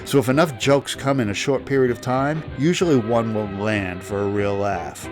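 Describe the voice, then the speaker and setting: Male narrator-host, mid-pitched, scripted review commentary over a prominent music bed. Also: moderate pace. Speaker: host, reviewer of old-time radio.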